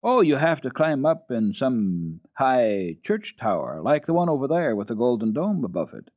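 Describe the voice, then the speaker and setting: A man's voice: mid-range voice, big pitch swings, moderate pace, no echo, highs cut off. A man voicing a good-natured, helpful manservant in a children's story reading, speaking plainly and obligingly.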